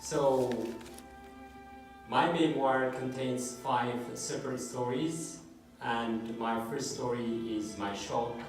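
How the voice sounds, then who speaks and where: Slow Ethiopian man in an echoey room. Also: mid-range voice, fairly even intonation. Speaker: memoir author, guest speaker. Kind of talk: informal introduction to a public reading. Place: room at a public event. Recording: echoey room, distant mic, music.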